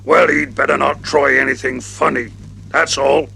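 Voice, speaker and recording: A man voicing a gruff, blustering male gorilla who warns off newcomers. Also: medium pitch, steady tone, moderate pace, bright, forceful tone, a little hiss or hum.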